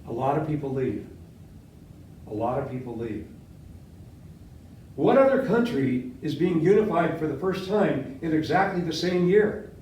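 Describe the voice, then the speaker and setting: An older man, a history professor born in Latvia with Latvian as his first language, giving a classroom lecture and quizzing his students, talking with lively gestures. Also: fairly high pitch, measured pace, long pauses, slight background noise. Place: seminar room with whiteboard. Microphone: far camera. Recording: echoey classroom from far off.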